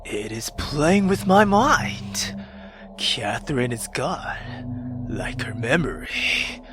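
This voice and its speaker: A man voicing a brooding, imperious dark lord with slow, menacing, formal delivery in a visual novel. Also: mid-range voice, sing-song, whispered, over music, voice pushed down. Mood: grim, vengeful. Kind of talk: dramatic visual novel voice acting.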